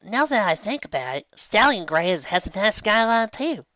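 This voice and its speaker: A man's voice: sits high, big pitch swings, moderate pace, pushed and bright, dry recording, muffled recording, pitched above the performer's own voice, exaggerated voice. A man voicing a casual young woman chatting in a fan storybook reading. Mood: musing.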